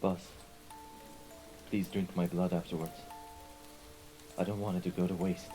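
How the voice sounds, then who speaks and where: A man's voice: sits low, flat delivery, a little slow, music in the background. A man playing a loyal, deferential mafia henchman in a scripted ASMR roleplay drama, earnest and submissive toward a superior. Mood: resigned, devoted.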